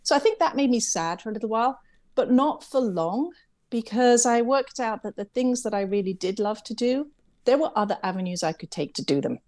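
A woman's voice: fairly high pitch, lively intonation, a little fast, a little mellow. A middle-aged Englishwoman with a slightly mid-Atlantic English accent, talking reflectively as a guest in an interview and laughing lightly at herself. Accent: English, with some American influence. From England. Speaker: interview guest, camp CEO. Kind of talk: conversational interview.

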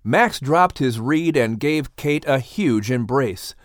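Animated man narrating and hosting. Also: mid-range voice, slow, no echo, clean studio sound. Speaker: host and narrator.

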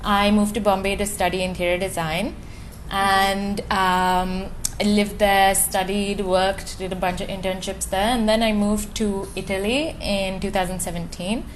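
A young woman from Goa, a podcast guest telling her story softly with small laughs. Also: medium pitch, flat delivery, slight background noise, poor audio. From Goa, India. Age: young adult. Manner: soft, giggly, unhurried. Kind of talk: casual interview chat.

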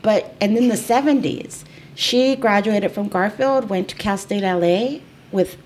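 A woman's voice: mid-range voice, measured pace, darkish tone, slight background noise. A woman who grew up speaking Spanish at home, hosting a podcast in a chatty, encouraging way with many affirmations. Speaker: podcast host, employment attorney. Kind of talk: conversational interview.

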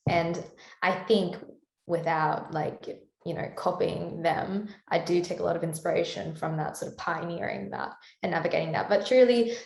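A woman with a Canadian-sounding accent talks easily through an interview, her voice sitting on the low side with a gravelly, fry-edged texture. She laughs lightly between thoughts. The recording carries a noticeable roomy echo. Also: moderate pace, darkish tone. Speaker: singer-songwriter guest. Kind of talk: casual interview chat.

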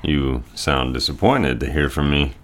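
A man playing a genial, hesitant older father in a period audio drama. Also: a bass voice. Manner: hesitant, unhurried.

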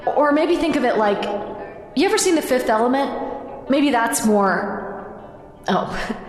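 An actress playing a patient, encouraging woman in an audio drama, coaching a magic learner gently and informally. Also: sits high, animated, slow pace. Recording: echoey reverb over music.

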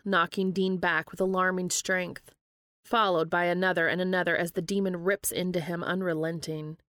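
A woman's voice: fairly low pitch, fairly bright tone, dry recording, studio quality. A woman hosting and reading fan fiction aloud as scripted narration. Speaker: podcast host and reader.

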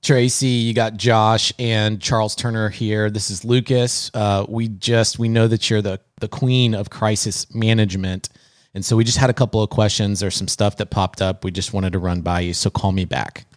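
Fairly low male podcaster, dry sound. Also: steady tone, moderate pace, no echo. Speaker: podcast host. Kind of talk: casual chat and prank calls.

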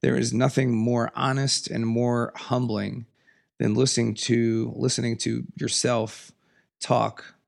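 Raspy, gravelly man with a cold. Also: little pitch movement, slow. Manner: emphatic, earnest, passionate. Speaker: podcast host. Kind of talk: solo podcast monologue. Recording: lower audio quality.

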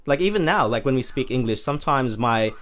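Filipino Australian male rapper, podcast co-host, emphatic casual talker. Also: a little fast. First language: Tagalog. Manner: animated, emphatic, rising pitch when making a point. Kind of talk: casual chat.

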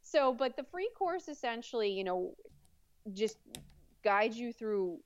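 Deep-voiced woman, smooth and chatty. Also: a little slow, no room sound, highs cut off. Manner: relaxed, chatty, with fillers and easy laughs. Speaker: PR agency owner, guest. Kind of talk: casual interview.

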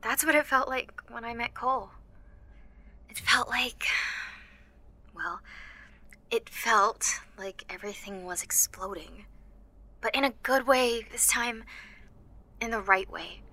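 Anxious, bright-voiced teenage girl. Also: a little high, exaggerated voice. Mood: tender, confiding. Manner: confiding, halting, with nervous laughs and sighs. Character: anxious, self-deprecating teenage girl with superpowers. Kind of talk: first-person audio drama narration and dialogue.

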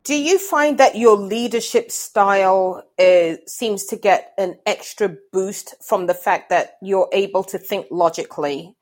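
A middle-aged woman, speaking candidly as a panelist and company co-founder, telling stories in an animated way. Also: medium pitch, measured pace, few pauses. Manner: animated storyteller, acts out quoted dialogue. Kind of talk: candid storytelling in a discussion.

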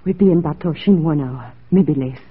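A woman's voice: low-pitched, normal speed, slightly rough. An actress playing a jealous, lovestruck young frontier woman in broken English, pleading and goading in 1940s radio style. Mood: passionate, pleading. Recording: tinny, band-limited 1940s radio recording.

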